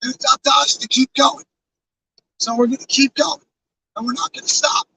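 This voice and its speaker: A hoarse man with a fairly high voice talks earnestly to his live chat, rambling with plenty of fillers and restarts. He sits back from the microphone, and faint background noise hums under his words. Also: steady tone, moderate pace, pushed and bright, distant mic, rough recording. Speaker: live streamer with a truck convoy. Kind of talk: casual live-stream talk to chat.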